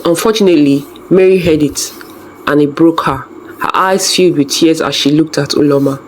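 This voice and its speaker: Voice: mid-range voice, normal speed, low-quality recording. Folktale narrator with noise behind the voice. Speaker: storyteller narrator.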